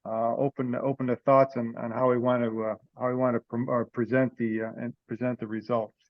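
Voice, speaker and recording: A man presenting informally in an online meeting, with hesitant, halting phrasing. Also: mid-range voice, level tone, dry recording, phone-quality sound. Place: online conference call. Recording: limited-band teleconference audio.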